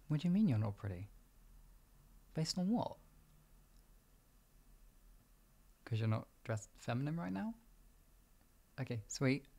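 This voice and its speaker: A man playing a protective, affectionate boyfriend in an improvised ASMR roleplay, speaking in a husky, soft, reassuring and intimate way. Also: on the high side, full character voice. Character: protective, affectionate boyfriend comforting his insecure partner.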